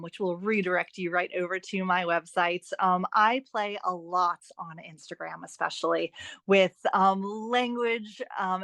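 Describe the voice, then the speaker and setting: A woman's voice: mid-range voice, dry recording. Enthusiastic woman in a dry, close recording. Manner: enthusiastic, expressive storyteller. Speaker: guest author. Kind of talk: podcast interview, conversational.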